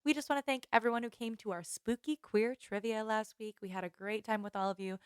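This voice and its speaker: A woman's voice: a little high, fairly even intonation, brisk, smooth, no echo, studio quality. A woman co-hosting a podcast, talking in a casual, chatty style. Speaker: podcast co-host. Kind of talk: casual podcast chat and sign-off.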